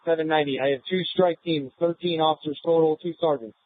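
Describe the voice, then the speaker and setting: A man's voice: a little high, fairly even intonation, fast, dry recording. An American man, a police officer, reporting in over a radio. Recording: tinny narrowband radio scanner audio.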